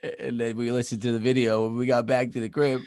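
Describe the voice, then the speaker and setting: A man co-hosting a podcast in easygoing, teasing banter. His voice sits at an ordinary pitch, and the sound is dry and close, with no room echo. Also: flat delivery, fast, darkish tone. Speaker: podcast co-host. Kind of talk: casual chat.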